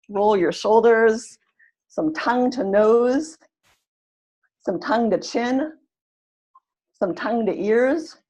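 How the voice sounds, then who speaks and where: A woman, presenting an online webinar for teachers in a friendly, instructive way. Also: mid-range voice. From California, USA. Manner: friendly, instructive, leads warm-up exercises. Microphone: computer mic on video call. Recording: dull lo-fi webinar audio.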